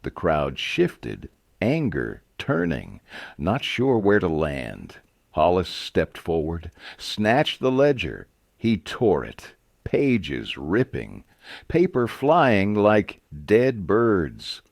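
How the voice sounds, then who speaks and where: A man's voice: low-pitched, wildly swooping pitch, extremely slow, gravelly, no room sound, clean studio sound. A man narrating a scripted Western story dramatically, in short, suspenseful phrases.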